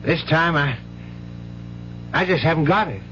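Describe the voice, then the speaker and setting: Frustrated, fed-up man, higher-pitched, 1970s radio drama, dull noisy broadcast. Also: expressive, unhurried, pushed and bright. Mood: weary, exasperated. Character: frustrated man who has run out of patience with a gambler.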